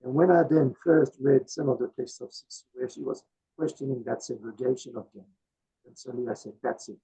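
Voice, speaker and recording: A man in his seventies with a croaky voice, a guest speaker answering audience questions thoughtfully over a video call, with many fillers. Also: medium pitch, fairly quick, mellow. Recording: dull lo-fi video call audio.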